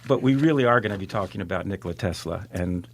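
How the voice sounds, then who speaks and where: A man speaking conversationally as co-host of a bantering comedy talk show, playful and deadpan. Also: sits low, fast talker.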